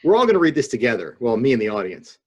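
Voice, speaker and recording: Male panelist, husky, lively intonation, deadpan comic asides in relaxed group chat. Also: mid-range voice, rapid-fire. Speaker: podcast panelist. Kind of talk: casual comedic panel chat. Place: remote video call.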